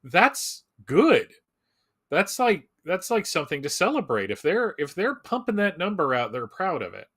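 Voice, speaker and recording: A man's voice: sits high, wildly swooping pitch, normal speed, fairly bright tone, breathy edge. A man chatting casually as a fan on a live show, informal and emphatic, rambling a little as he goes.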